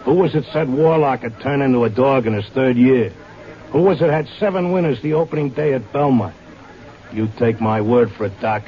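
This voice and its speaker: A man's voice: mid-range voice, moderate pace, lightly acted. An actor playing a stubborn, devoted horse-race handicapper in a vintage radio drama, talking in slangy wise-guy style. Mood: determined. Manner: slangy, streetwise Broadway wise-guy talk. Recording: dull old radio recording with music.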